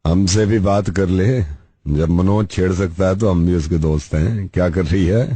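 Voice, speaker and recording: Man putting on a low, sleazy street harasser. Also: normal speed, warm tone, breathy edge, dry recording. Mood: leering, taunting. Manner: drawling, taunting. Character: sleazy, leering young male street harasser. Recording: radio prank call with background music.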